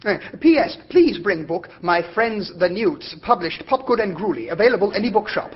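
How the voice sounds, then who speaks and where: A man's voice: big pitch swings, normal speed. A man playing an anxious, fussy young man who talks in a clipped, telegram-style way. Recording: dull lo-fi recording with faint rumble.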